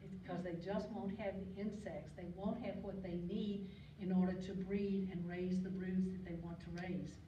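An older woman giving an informal slide talk at a podium, chatty and digressive, with frequent ums and throat clearing. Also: on the low side, level tone, moderate pace, hardly any pauses, mellow. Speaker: guest speaker on local birds. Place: lecture hall. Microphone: podium mic, recorded by a far camera. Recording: distant echoey hall recording, muffled, noisy.

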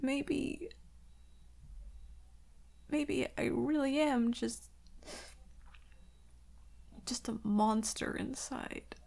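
An anxious werewolf girlfriend, acted by a woman, speaks very slowly in a fairly high, creaky voice. She is vulnerable and hesitant, stammering softly and dropping into whispers in an intimate ASMR roleplay. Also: exaggerated voice. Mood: sad, self-doubting. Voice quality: soft, breathy whispering.

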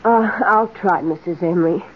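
An actress playing a timid, hesitant, deferential household servant in an old radio drama. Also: female voice, lowish voice, moderate pace, lightly acted. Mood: meek. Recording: dull, faintly noisy old radio recording.

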